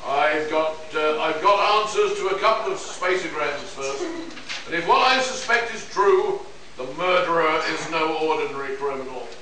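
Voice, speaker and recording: A man's voice: fairly high pitch, normal speed, pushed and bright. Man acting a pompous detective-scientist, distant and echoey. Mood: brisk, commanding. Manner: pedantic, condescending, stumbling over script. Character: know-it-all male scientist detective lecturing on alien plants. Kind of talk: live amateur radio-play reading at a convention. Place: convention room, distant microphone. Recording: noisy echoey hall recording from far off.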